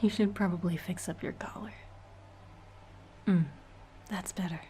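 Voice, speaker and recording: A woman's voice: lowish voice, fairly even intonation, measured pace, mellow, a little hiss or hum, lightly acted. A woman whispering in an ASMR roleplay as a teasing, predatory female vampire office boss. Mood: smug. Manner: whispered throughout.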